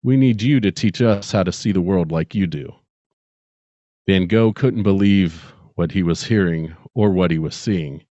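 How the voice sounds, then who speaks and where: A man's voice: sits low, a little slow, dark tone, no echo. A middle-aged man speaking as a podcast co-host and storyteller, close to the microphone on slightly dull audio. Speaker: podcast co-host and amateur short story writer. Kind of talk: dramatic story reading and casual podcast chat.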